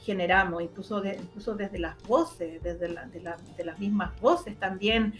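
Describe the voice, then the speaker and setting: A woman with a Chilean Spanish accent explaining her own work as an interview guest, in an animated, conversational way. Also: medium pitch, normal speed, faint music behind. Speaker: interview guest, radio drama producer. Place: online video interview.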